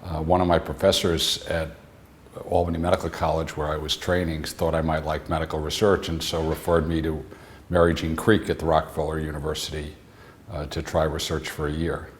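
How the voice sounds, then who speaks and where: A man with an extremely deep voice speaks calmly and plainly, in a very flat, even tone. He sounds steady and unhurried in an interview. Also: a little hiss or hum. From New York City suburbs. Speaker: interviewee, physician-scientist. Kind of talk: reflective interview answers.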